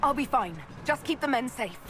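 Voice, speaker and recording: An actress playing a firm, earnest heroic leader in a video game. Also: female voice, high-pitched, expressive, moderate pace, fairly bright tone, soft background music. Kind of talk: dramatic video game acting.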